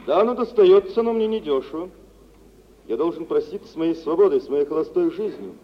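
An actor playing a boisterous, self-assured gentleman shipowner in theatrical mid-century radio drama, telling things in an expansive, swaggering way. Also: on the high side, animated, fairly quick, natural voice. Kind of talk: mid-century Soviet stage acting for radio. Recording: muffled, noisy 1950s radio recording, reverberant hall.

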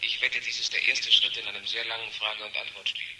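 Fast-talking, cocksure scientist. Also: male voice, mid-range voice, flat delivery, bright, forceful tone. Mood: excited. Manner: clipped, urgent, triumphant. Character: excited, cocksure male scientist reporting a breakthrough. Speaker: actor. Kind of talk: 1970 German radio drama acting. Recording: noisy, clicking radio-play phone scene.

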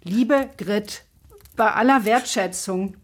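A woman's voice: mid-range voice, slow pace. An actress playing a stern woman who leads a reading circle and speaks in curt, reproving tones. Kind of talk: radio drama acting.

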